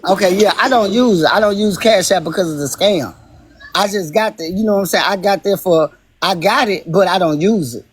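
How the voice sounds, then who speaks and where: A man with a naturally high-pitched voice talking as a guest on a live stream, emphatic and blunt, repeating his points and swearing freely. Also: a little fast, husky. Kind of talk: heated casual chat.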